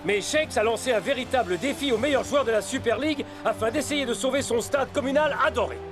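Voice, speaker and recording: An actor playing an excitable male football commentator in a French cartoon dub, calling the game with drama. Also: very high-pitched, brisk, over music. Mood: eager, dramatic.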